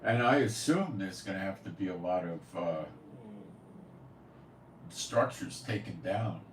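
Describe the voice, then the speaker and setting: A man's voice: low-pitched, animated, unhurried. A man hosting a prophecy commentary channel, talking in a casual, rambling monologue with a blunt, scoffing, opinionated manner. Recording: echoey room, far from the mic, faint noise.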